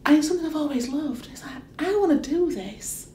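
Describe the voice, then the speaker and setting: A woman talks casually in a mellow voice. She sounds distant from the mic in an echoey room with faint noise. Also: high voice, expressive, a little fast. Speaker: burlesque performer. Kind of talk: casual interview talk.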